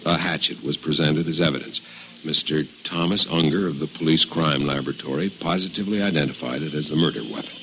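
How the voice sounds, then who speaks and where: An actor playing a stern, pressing deputy district attorney in a radio drama, speaking formally and forcefully with deliberate phrasing. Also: male voice, extremely low pitch. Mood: stern, insistent. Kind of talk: 1950s radio drama acting. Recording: muffled, noisy old radio recording.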